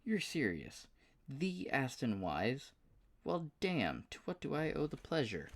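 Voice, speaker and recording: A man's voice: medium pitch, wildly swooping pitch, slow pace, voice pushed up, heavily acted. A man voicing an eager, folksy male scientist with a Southern US drawl, pouting when he can't help. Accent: Southern US, put on.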